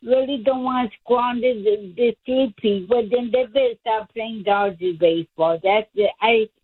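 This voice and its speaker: A woman's voice: on the high side, normal speed, slightly rough, no echo. A woman calling into a sports radio show, frustrated and hesitant, heard over a phone line. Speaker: caller.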